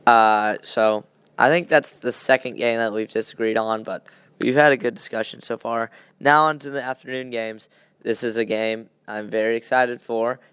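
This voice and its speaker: A man's voice: fairly low pitch, fairly bright tone, clean tone, dry recording. A young man hosting a podcast, chatting casually and giving blunt opinions on sports. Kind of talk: casual sports chat, game predictions.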